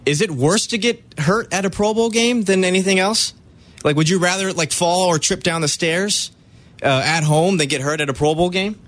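A man's voice: high voice, normal speed, a bit bright. A man co-hosting a sports radio show, reading out news stories and stumbling over words now and then.

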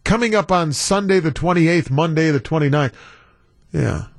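A man's voice: on the high side, normal speed, dry recording, studio quality. An American man hosting a talk radio show with casual, playful and wry banter. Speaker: guest radio host.

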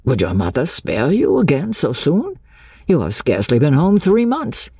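A woman voicing a courteous, sympathetic English gentleman in an audiobook, gentle, formal and measured. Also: sits low, huge pitch swings, slightly rough, dry recording, clean studio sound, heavily acted. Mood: mildly surprised. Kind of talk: audiobook character dialogue.

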